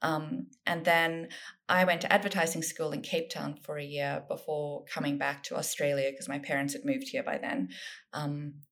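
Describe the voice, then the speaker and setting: A woman's voice: low-pitched, flat delivery, normal speed, a bit bright. A woman from South Africa, a guest author in a casual interview, thinking aloud with plenty of ums and restarts. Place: home in Sydney.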